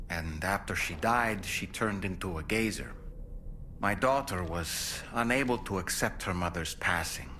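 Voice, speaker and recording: An actor playing a sorrowful father, a medium trapped inside a doll, telling his story slowly and solemnly in a video game cutscene. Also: fairly low pitch, a bit bright, slight background noise. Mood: composed. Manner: slow, solemn, reflective storytelling.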